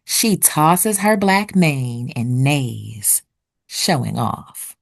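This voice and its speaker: A woman reading a children's picture book aloud expressively, with deliberate storytelling pauses. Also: extremely low pitch, very animated, creaky. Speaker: children's read-aloud narrator.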